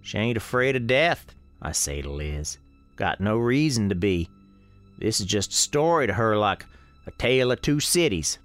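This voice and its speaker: A man playing a grave, folksy storekeeper, narrating an eerie radio drama in a measured, ominous way. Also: on the low side, sing-song, faint music behind. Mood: pressing.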